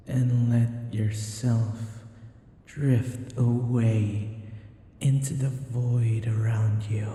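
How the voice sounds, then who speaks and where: A man playing a possessive, teasing vampire in an ASMR roleplay, with a breathy voice that often drops to a whisper and a coaxing, taunting manner. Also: mid-range voice, dark tone, exaggerated voice. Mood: soothing, commanding. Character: possessive, teasing male vampire who hypnotizes his captive.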